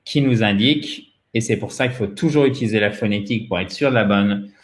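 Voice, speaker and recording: A man's voice: lowish voice, fairly quick, reverberant. A man giving an interactive pronunciation lesson, explaining didactically and addressing his learners directly, a native French speaker. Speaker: English pronunciation teacher, host.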